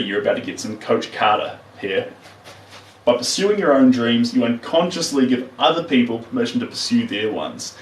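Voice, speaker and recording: A man, chatting casually and earnestly while painting on a livestream, giving plain-spoken motivational advice. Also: medium pitch. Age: 30s. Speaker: painter streaming live. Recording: roomy, distant mic.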